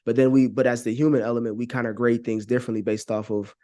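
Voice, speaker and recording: A man's voice: mid-range voice, little pitch movement, quick pace, dark tone. A man giving his opinions as a podcast guest, speaking casually and earnestly with plenty of slang. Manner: slangy, earnest, frequent 'you know what I'm saying'. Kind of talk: casual conversational opinion talk.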